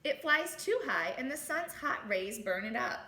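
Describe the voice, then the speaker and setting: Animated, bright-voiced woman reading aloud. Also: a little high, moderate pace. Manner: animated, exclaims and comments on the story. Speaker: teacher reading aloud to children. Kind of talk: expressive storybook read-aloud with asides. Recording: echoey room.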